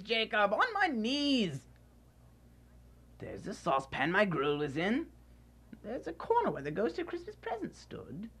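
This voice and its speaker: A woman playing an old male miser reborn as a giddy, generous soul in a radio drama, exclaiming and repeating phrases excitedly. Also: mid-range voice. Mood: overjoyed, giddy.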